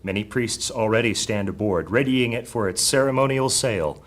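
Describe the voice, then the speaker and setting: A man's voice: fairly low pitch, normal speed. A man narrating a fantasy audio drama in a dramatic, scripted storytelling style.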